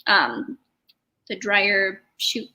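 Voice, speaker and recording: A woman's voice: medium pitch, expressive, very slow, sharp tone. A woman co-hosting a livestream in casual, chatty talk sprinkled with "like". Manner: chatty, peppers speech with "like". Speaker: livestream co-host. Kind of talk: casual chat.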